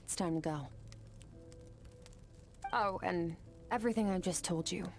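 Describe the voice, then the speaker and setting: A woman's voice: fairly low pitch, measured pace, darkish tone. A woman playing an aloof, stoic female warrior from another planet in a video game, speaking in a cool, blunt, detached and imperious manner. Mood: haughty, curt. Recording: music behind the voice. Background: game music.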